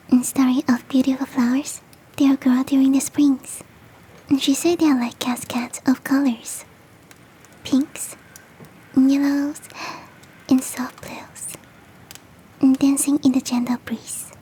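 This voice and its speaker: Woman as a high, whispering, sweet yeti girl. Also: low-quality recording. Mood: wistful. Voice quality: soft, breathy whispering. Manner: gentle, coaxing, affectionate, self-deprecating. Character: big, sweet, doting female yeti hostess. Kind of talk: whispered ASMR roleplay audio.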